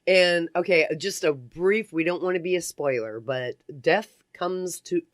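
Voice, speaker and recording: A woman's voice: lowish voice, no echo, clean studio sound. A woman hosting a radio interview in a casual, chatty and effusive way. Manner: effusive, quick to laugh. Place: community radio studio.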